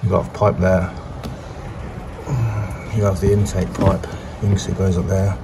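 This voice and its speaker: A man's voice: low-pitched, level tone, normal speed, vocal fry. Man in a noisy garage, casual repair talk. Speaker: amateur car mechanic and video host. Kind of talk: casual hands-on repair commentary. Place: small brick garage at an open car bonnet. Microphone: phone camera, handheld or propped nearby. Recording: noisy garage with engine running.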